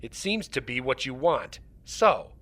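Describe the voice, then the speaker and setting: An actor playing a defiant, gruff alien starship captain in an audio drama, commanding and wry. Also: male voice, mid-range voice, big pitch swings, a little slow, a bit bright, dry recording. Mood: wry, resigned. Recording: faint background noise and ambience.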